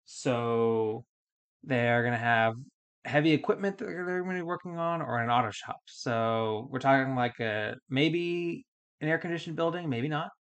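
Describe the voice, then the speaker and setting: A man's voice: medium pitch, expressive, moderate pace, fairly bright tone, clean tone. A man in his thirties hosting a solo podcast, explaining things in a casual, step-by-step way with fillers and restarts, recorded at a studio mic in a small foam-panelled room. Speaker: podcast host.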